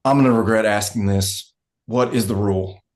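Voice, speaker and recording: A man playing a weary, wry, gruff middle-aged father in a screenplay table read. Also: lowish voice, fairly even intonation, moderate pace, lightly acted. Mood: resigned.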